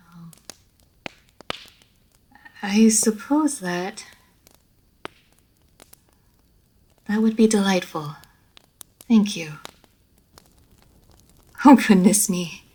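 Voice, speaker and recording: A woman playing an immortal vampire lady of a manor in an audio roleplay, formal and measured, poised and teasing, speaking straight to the listener. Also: mid-range voice, a little mellow, breathy edge. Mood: gracious, gently teasing. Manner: formal, measured, old-fashioned genteel phrasing. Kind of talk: scripted roleplay audio story, addressed to the listener.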